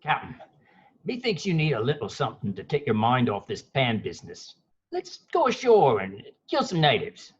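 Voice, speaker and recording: A man's voice: mid-range voice, wildly swooping pitch, moderate pace, breathy edge, muffled recording. A man acting a fawning, soothing pirate sidekick who coaxes and placates in a theatrical script table read. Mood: coaxing. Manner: coaxing, placating.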